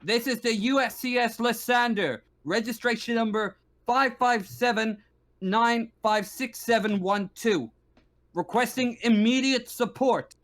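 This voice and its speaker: A man putting on a desperate spaceship crew member in a tabletop sci-fi game. The voice is raised well up high, delivering a formal, measured radio distress call. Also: fairly bright tone. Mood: urgent, pleading.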